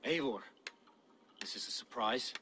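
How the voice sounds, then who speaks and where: Guy doing a mystical viking dreamer, medium pitch, solemn n dramatic, video game scene, bit of background ambience. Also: lively intonation, unhurried, background noise, close to an everyday voice. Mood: solemn, fervent. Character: mystical Viking seer driven by visions, earnest. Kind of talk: video game cutscene dialogue.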